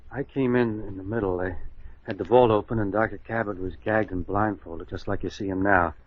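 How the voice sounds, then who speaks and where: Low-voiced, halting hospital orderly, acted by a man. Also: steady tone, lightly acted. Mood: groggy, halting. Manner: halting, hesitant answers. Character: dazed male hospital orderly telling a false story. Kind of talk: old-time radio mystery acting. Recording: dull lo-fi old radio recording.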